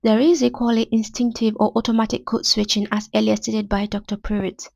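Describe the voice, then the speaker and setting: A woman's voice: fairly high pitch, flat delivery, a little fast, vocal fry, a little mellow, dry recording, dull audio. A young Nigerian woman with a Nigerian accent, hosting a podcast and reading a prepared literary essay in formal, academic wording. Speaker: podcast host.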